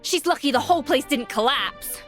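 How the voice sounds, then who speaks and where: A woman's voice: high-pitched, expressive, normal speed, fairly bright tone. A woman playing a proud, righteous young female chef in a video game, with a scolding, exasperated delivery. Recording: music bed behind the voice.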